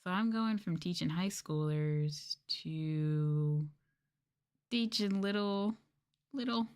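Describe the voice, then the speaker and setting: A young adult woman chatting casually and softly as an ASMR streamer, candid and mildly sweary. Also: fairly low pitch, slow pace, smooth. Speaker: VTuber streamer. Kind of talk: soft-spoken ASMR casual chat.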